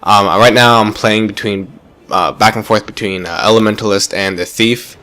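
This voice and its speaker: A man's voice: lowish voice, fairly even intonation, normal speed, pushed and bright. A man with a stuffy, congested voice, rambling enthusiastically through casual gameplay commentary with many fillers and restarts. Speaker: gaming YouTuber.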